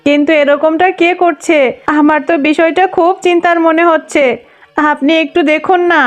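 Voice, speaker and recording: A woman's voice: very high-pitched, fairly even intonation, moderate pace, faint music behind. A woman voicing an anxious, puzzled animal graveyard guard in a cartoon, fretting and wondering aloud. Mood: worried, puzzled.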